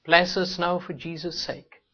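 A man's voice: fairly even intonation, unhurried, bright, forceful tone, muffled recording. A Welsh man preaching a sermon in a church, talking to the congregation in a conversational way and asking rhetorical questions. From Wales. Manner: conversational, rhetorical questions, rises sharply in pitch for emphasis. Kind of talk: sermon with opening prayer. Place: church hall.

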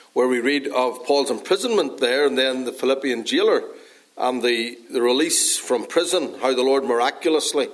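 A man with a Northern Irish accent preaches a sermon at a middle pitch for a man, earnest and unhurried. He pauses often and fills the gaps with small hesitations. A dry cough leaves his voice slightly hoarse. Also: low-quality recording. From Northern Ireland. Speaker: pastor.

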